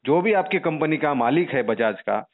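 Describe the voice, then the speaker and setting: A man's voice: a little high, animated, normal speed. A man making an insistent, indignant complaint as a journalist over the phone, with repeated pointed questions. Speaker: journalist caller complaining to a call centre. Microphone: telephone. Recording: muffled narrow-band phone call recording.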